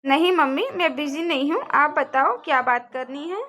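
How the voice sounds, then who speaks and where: A woman's voice: sits high, animated, normal speed, sharp tone, slight background noise. A woman voicing a worried, respectful young daughter-in-law in a cartoon family drama. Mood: polite. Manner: earnest, polite, pleading.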